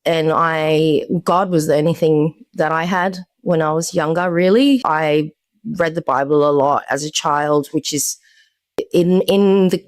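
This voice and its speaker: A woman's voice: deep voice, a little slow, no room sound. A young woman as a guest, candidly telling her personal story in a conversational way. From Australia. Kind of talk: personal testimony.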